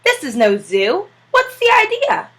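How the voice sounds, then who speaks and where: A woman's voice: very high voice, huge pitch swings, measured pace, a bit bright, pitched above the performer's own voice, exaggerated voice. A woman voicing an indignant, bossy male barber in a children's storybook, curt and scolding.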